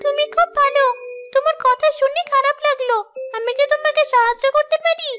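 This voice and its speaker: Tiny, squeaky, very high cartoon bird. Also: female voice, brisk, sharp tone, faint music behind. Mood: grateful, gentle. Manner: sweet, helpful. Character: kind grateful talking wild bird, small and sweet. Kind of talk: moral-story cartoon dub.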